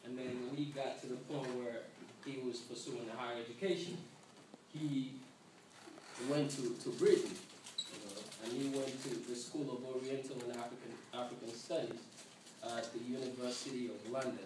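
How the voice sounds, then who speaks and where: A man from Uganda with a Ugandan accent giving an informal class presentation, repeating words haltingly as he goes. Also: medium pitch, steady tone. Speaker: student presenter. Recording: noisy, echoey room, distant mic.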